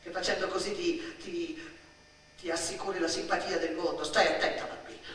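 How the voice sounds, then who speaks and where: A woman's voice: on the low side, steady tone, natural voice. A woman playing a brash, mocking older female relative in a theatrical radio monologue, scolding sarcastically with repeated commands. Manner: loud, sarcastic, rapid-fire repeated commands. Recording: echoey stage sound, distant, faint noise.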